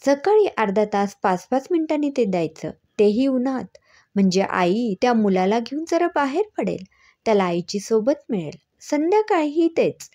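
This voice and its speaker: A woman's voice: fairly high pitch, mellow. A woman storyteller voicing a clever, teasing woman doctor who explains things patiently and with assurance.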